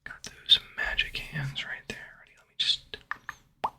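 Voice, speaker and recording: A man making ASMR in a breathy whisper, rambling casually to his viewers and often repeating short phrases over and over. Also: moderate pace, pushed and bright. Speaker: ASMR video creator.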